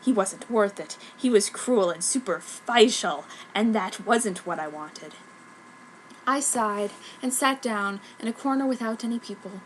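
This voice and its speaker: A woman's voice: medium pitch, lively intonation, a little slow, a little hiss or hum, lightly acted, poor audio. A woman voicing a self-pitying, dramatic goth schoolgirl telling her own story in a fanfic reading. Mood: bitter, mournful. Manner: melodramatic. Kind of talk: mock-earnest first-person fanfic narration.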